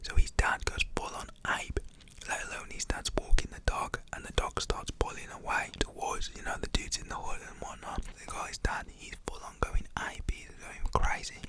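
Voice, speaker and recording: A person with a British accent whispers a casual, slangy personal story in an ASMR-like style. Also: moderate pace. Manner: informal, slangy, acts out quoted shouts in a whisper.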